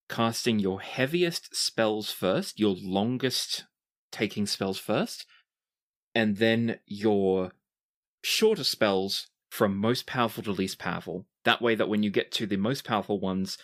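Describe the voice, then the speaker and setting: A man narrating a tabletop roleplaying game as game master, in a measured, deliberate storytelling manner. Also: on the low side.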